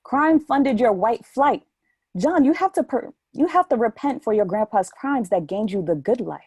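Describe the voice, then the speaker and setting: A woman's voice: fairly high pitch, animated, normal speed, darkish tone, breathy edge, no echo, studio quality. A woman playing a bold, teasing, insistent city woman in her thirties in an audio play. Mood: accusing, probing.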